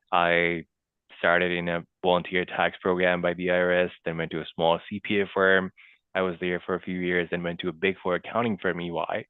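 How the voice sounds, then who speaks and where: A man's voice: sits low, smooth, no room sound. A man, a guest accountant chatting in a podcast interview, leaning on "you know" and "right". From Pakistan. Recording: tinny dry call audio.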